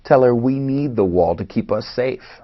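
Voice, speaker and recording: A man's voice: mid-range voice, normal speed, natural voice. An actor in a play reading, playing a firm, wary man in an Israeli family, on a dull recording. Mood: terse, wary.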